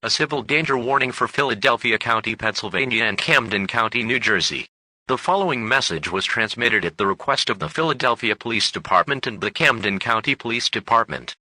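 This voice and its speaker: A man's voice: medium pitch, lively intonation, brisk, bright, forceful tone, no room sound, rough recording. A synthetic-sounding male announcer reading a formal, scripted emergency alert.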